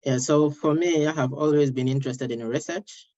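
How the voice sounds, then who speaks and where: A man's voice: fairly high pitch, fairly even intonation, normal speed, darkish tone. Enthusiastic young man from Ghana. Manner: enthusiastic, repeats himself. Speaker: panelist, master's student. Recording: dull lo-fi mic.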